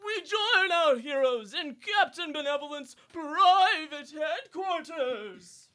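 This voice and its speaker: A woman's voice: high voice, expressive, slow pace, fairly bright tone, full character voice. A young woman playing an over-the-top announcer, narrating a scripted comedic superhero radio serial. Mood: dramatic announcing. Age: young adult.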